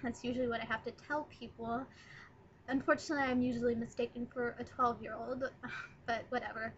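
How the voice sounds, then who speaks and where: A woman's voice: fairly high pitch, level tone, fairly quick, dull audio, slight background noise. A nineteen-year-old woman chatting in a rambling, casual first video blog, recorded on her laptop's webcam mic. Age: 19, young adult. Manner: chatty, rambling, lots of ums. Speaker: vlogger.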